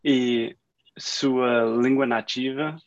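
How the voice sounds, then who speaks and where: A man guides a small online language class, talking slowly and gently so the learners can follow. His voice comes through on muffled, lo-fi call audio. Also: medium pitch. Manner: relaxed, encouraging, speaks slowly for learners. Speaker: language teacher running the class. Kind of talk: casual class conversation.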